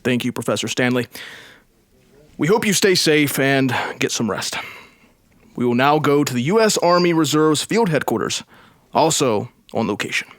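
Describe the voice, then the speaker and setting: A man's voice: medium pitch, lively intonation, normal speed, no echo, studio quality, lightly acted. A man playing a grave news announcer in an old-style radio drama, reporting an invasion in formal broadcast style. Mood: composed, formal.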